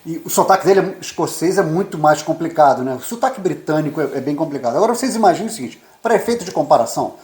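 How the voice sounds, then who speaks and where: A man's voice: a little high, expressive, rapid-fire, few pauses, darkish tone. A Brazilian man in his fifties, co-hosting a casual chat and rambling with repeated words. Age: 50s. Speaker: co-host, immigrant journalist. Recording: echoey room.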